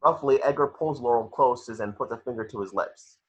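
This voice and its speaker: A man's voice: medium pitch, a little fast, slightly rough. A man narrating scripted action descriptions in an amateur table read, on a lo-fi home recording.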